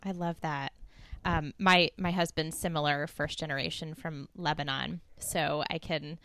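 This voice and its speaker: A woman's voice: sits low, fairly even intonation, unhurried, pushed and bright, dry recording. A woman hosting a podcast, asking questions and giving brief supportive replies. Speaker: podcast host. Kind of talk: podcast interview.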